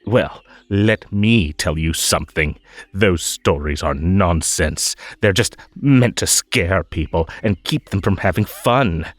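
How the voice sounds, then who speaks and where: A man playing a possessive magical tea-party host in an audio roleplay, over-polite and halting, with a menacing, unhinged edge under the sweetness. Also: sits low, no echo. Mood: persuasive, obsessive. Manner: halting, drawn-out, over-polite, swinging between coaxing and stern. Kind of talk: scripted roleplay audio drama.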